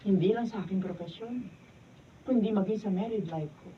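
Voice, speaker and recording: A woman's voice: medium pitch, moderate pace, lightly acted. A woman with a Filipino accent acting an assertive, motherly mentor in a radio drama, emphatic and admonishing. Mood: reflective, firm. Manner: emphatic, admonishing, mixes English into Tagalog. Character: assertive, motherly older female mentor, successful professional. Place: radio drama recording session. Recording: distant, noisy, dull camera audio.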